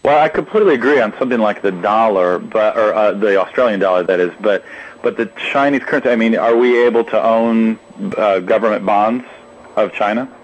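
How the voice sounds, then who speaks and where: A man's voice: lowish voice, a little fast, poor audio. A man calling into a radio show, asking a question hesitantly as he searches for his words. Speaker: caller. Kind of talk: call-in question.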